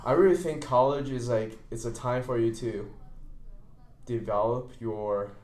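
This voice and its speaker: A man's voice: mid-range voice, a little slow, a little mellow. Young man hosting a podcast in an echoey room. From China. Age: young adult. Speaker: podcast host, college student. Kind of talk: casual podcast chat. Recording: roomy echo.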